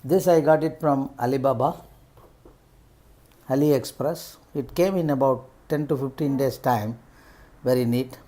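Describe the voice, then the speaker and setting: Middle-aged Indian-accented man, halting delivery. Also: medium pitch, dark tone. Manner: halting, piecemeal phrasing. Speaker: vlogger reviewing rider gear. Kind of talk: unscripted unboxing commentary.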